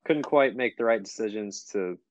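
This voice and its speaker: A man with a fairly low voice chats as a podcast guest. He is laid-back and slangy and likes to repeat his phrases. The audio is dry and a little dull, like an online call. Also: moderate pace, dry recording. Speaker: podcast guest, tournament angler. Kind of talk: casual chat.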